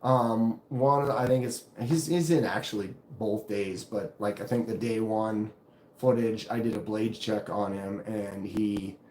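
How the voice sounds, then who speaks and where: Deep-voiced man chatting on a live stream. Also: unhurried, echoey room. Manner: relaxed, rambling, reads chat aloud. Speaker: knife channel live streamer. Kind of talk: casual live stream chat.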